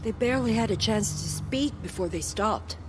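A woman's voice: on the high side, animated, normal speed, background noise, lightly acted. An actress playing a blunt, spiritual, resolute woman survivor in a video game cutscene, with a matter-of-fact delivery. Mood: frank, wry.

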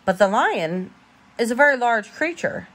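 Clever courtly cat for a fairy tale, voiced by a woman, smooth-talking manner, animated pitch, slightly husky. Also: normal speed. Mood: flattering, sly. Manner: courtly, persuasive. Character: clever, cunning male cat, polite and flattering.

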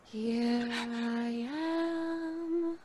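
Very slow, breathy haunted woman. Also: a little high, darkish tone, heavily acted. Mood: eerie, beckoning. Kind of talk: old-time radio horror drama acting.

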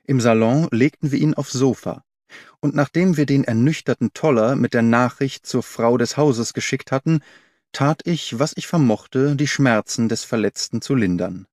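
A man's voice: mid-range voice, normal speed, a little mellow, dry recording, clean studio sound. A man reading scripted literary narration as an audiobook narrator.

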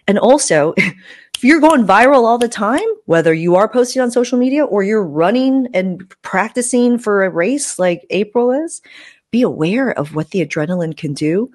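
A woman's voice: medium pitch, lively intonation, normal speed, no echo. A woman in her thirties hosting a casual podcast, talking frankly and emphatically into a close mic. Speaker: podcast host. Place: small room at home, video call. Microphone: close desktop mic with furry windscreen.

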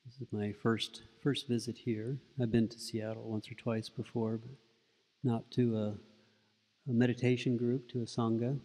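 Middle-aged male monk, soft-spoken, low mellow tone, unhurried storyteller, reverberant hall. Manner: relaxed storytelling with frequent restarts. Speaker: Buddhist monk giving a talk. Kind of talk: informal dharma talk. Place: indoor meditation room with paper screens. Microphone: stand mic close in front.

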